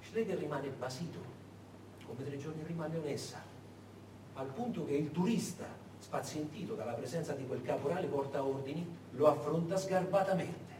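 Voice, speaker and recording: A male theatre narrator tells the story dramatically, with a high, lively voice and emphatic delivery. The recording is distant, with rumbling noise behind it. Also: normal speed, echoey room. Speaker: stage actor and narrator.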